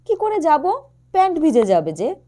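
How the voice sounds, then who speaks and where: A woman's voice: sits high, wildly swooping pitch, moderate pace, warm tone, voice pushed up. A woman voicing a romantic, good-humored young man in an audio story, bantering and earnest. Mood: reluctant.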